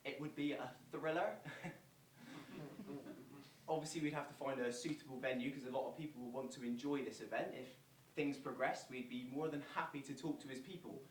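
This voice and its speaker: A man playing a measured, rule-bound council official, speaking formally in a stage monologue. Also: mid-range voice, fairly even intonation, lightly acted. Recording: distant, echoey, noisy stage recording.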